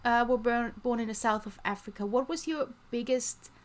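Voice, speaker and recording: A woman's voice: fairly high pitch, moderate pace. A woman hosting a podcast, opening with an upbeat scripted introduction and then interviewing her guest. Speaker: podcast host.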